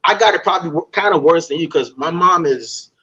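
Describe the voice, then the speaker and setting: A young man from Guyana hosting a casual interview, animated and playful, talking in easy slang. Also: on the high side, fairly quick, husky, lo-fi sound. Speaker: podcast host.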